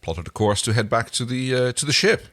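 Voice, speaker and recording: A man's voice: mid-range voice, lively intonation, brisk, a bit bright, no echo, studio quality. A man co-hosting a casual episode recap, thinking aloud in a hesitant way. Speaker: podcast co-host.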